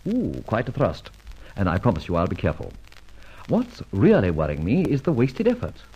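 An actor playing a suave, wry, self-assured gentleman thief in a vintage radio drama, with an urbane manner. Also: on the low side, wildly swooping pitch, moderate pace, husky, exaggerated voice. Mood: sly, amused. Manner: urbane, wry, clipped commands. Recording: dull vintage radio recording, music behind.

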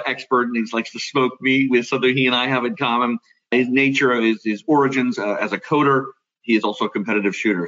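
A man's voice: medium pitch, fairly bright tone, highs cut off. A man hosting a podcast, talking in a chatty, conversational way with fillers. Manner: chatty, friendly introductions with fillers. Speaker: podcast host.

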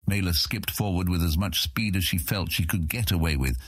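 Very deep, husky male narrator. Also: brisk, dry recording, clean studio sound. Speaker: audiobook narrator. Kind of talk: dramatic scripted fantasy narration.

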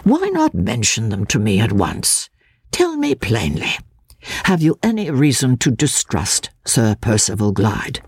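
A woman voicing an elderly gentleman lawyer who narrates a classic novel in a formal, deliberate, self-assured manner. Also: a bass voice, wildly swooping pitch, husky, no room sound, pitched below the performer's own voice, full character voice. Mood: probing, courteous. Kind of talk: first-person literary narration.

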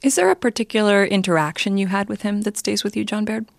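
A woman's voice: mid-range voice, fast talker, gravelly, no echo, studio quality. A woman with a Canadian accent hosting a political interview programme as a broadcast interviewer. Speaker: radio host and interviewer.

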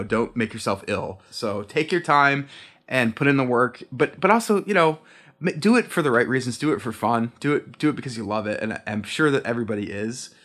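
A man, a guest on a podcast, chatting casually and encouragingly about creative work. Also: mid-range voice. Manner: relaxed, encouraging, with fillers and restarts. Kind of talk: casual advice chat.

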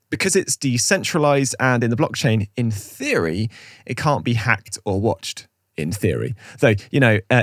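A man's voice: mid-range voice, a bit bright, no room sound, clean studio sound. A man co-hosting a podcast, reading scripted segments in a conversational way with wry, chatty asides. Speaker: podcast co-host.

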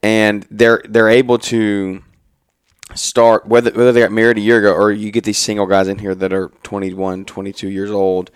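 A man's voice: on the low side, little pitch movement, normal speed, clean tone, no echo, clean studio sound. A man in his twenties chatting on a podcast at a trade expo, talking in loose run-on sentences full of restarts. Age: 20s. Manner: rambling, many restarts and "like"s. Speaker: podcast co-host, married to co-host. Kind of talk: casual podcast conversation.

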